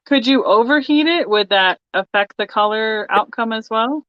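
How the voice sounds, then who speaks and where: A soft-spoken woman hosting a live online sale, calling out bids and chatting with her guest. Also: fairly high pitch, normal speed, no echo. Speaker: host of a live glass sale. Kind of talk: live online auction, casual chat. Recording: dry, dull lo-fi sound.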